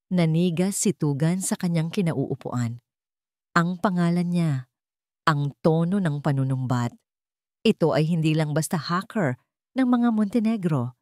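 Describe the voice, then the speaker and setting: A woman reading a dramatic scripted story with a measured, suspenseful delivery. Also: low voice, expressive, darkish tone, dry recording, studio quality. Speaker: story narrator.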